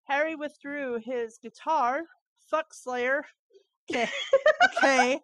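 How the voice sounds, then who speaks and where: A woman's voice: high-pitched, slow pace, a bit bright, no room sound. A woman co-hosting a fan fiction read-aloud, breaking off into baffled, informal asides. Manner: incredulous, informal, interjecting asides.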